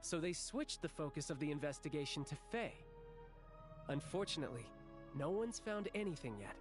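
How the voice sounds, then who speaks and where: A man's voice: fairly high pitch, very animated, moderate pace, over music. A man playing a sly, genteel young official in a video game, speaking in a playful, articulate and theatrical way. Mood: measured, explaining. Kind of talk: video game character acting.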